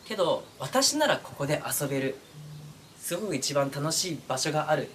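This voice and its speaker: A man hosting his own channel, talking casually to his followers with drawn-out hesitations and asides that seek agreement. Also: a little high, very animated, fairly bright tone, slight background noise. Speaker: online voice-drama creator and channel host. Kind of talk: casual monologue to camera, addressing followers.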